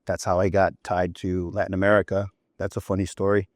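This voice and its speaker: Low-voiced man in his fifties, executive guest, chatty storyteller, dry close sound. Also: flat delivery, a little fast, dry recording. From New York City. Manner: chatty anecdotes with frequent "you know" and "right?". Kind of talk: relaxed storytelling interview talk.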